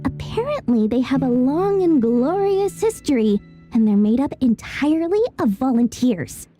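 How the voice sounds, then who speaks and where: A woman's voice: high-pitched, animated, normal speed, warm tone. A woman voicing a greedy, chatty young female merchant in a video game, talking in a theatrical, salesy way. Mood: gossipy, scheming. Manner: theatrical, salesy, self-promoting. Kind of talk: video game character acting. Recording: music under the voice.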